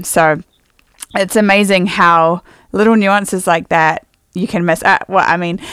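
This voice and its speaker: Chatty New woman, crisp voice. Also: mid-range voice, fairly bright tone, dry recording, studio quality. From New Zealand. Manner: chatty, quick to laugh. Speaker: podcast host. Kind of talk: casual interview chat.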